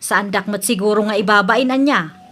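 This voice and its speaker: An actress with an Ilocano Filipino accent playing a proud, quarrelsome young daughter in a comedy radio drama. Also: female voice, mid-range voice, moderate pace, a bit bright, close to an everyday voice. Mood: boastful, scheming.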